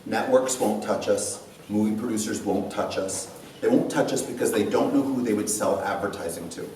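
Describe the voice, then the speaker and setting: A man co-hosting a talk about dance before a live audience, animated and laughing often, repeating his words. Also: fairly low pitch, normal speed, heavy reverb, distant mic, slight background noise, poor audio. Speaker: dance instructor and show co-host. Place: event room with a live audience.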